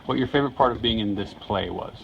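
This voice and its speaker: A man's voice: lowish voice, quick pace, vocal fry, muffled recording, a little hiss or hum. A man asking casual interview questions and giving short acknowledgements. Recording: crowd chatter in the background.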